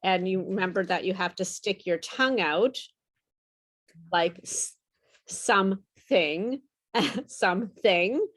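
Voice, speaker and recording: Woman, pronunciation teacher, unhurried delivery, mid-pitched, patient, encouraging. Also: a bit bright. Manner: patient, encouraging, explains with repeated example words. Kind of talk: online group pronunciation lesson.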